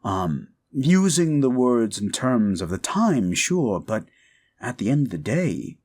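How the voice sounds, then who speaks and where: A man's voice: mid-range voice, very animated, takes it slowly, darkish tone. A man talking casually and reflectively to his listeners, earnest and thoughtful, rambling a little as he goes. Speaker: audio drama voice performer and writer. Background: music.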